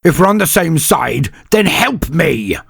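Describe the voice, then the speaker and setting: A man voicing a gruff male executive officer who barks and snaps orders. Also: mid-range voice, sing-song, moderate pace, a little mellow, dry recording, clean studio sound, faint music behind, pitched above the performer's own voice, heavily acted. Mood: barking. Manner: barking, snapping orders.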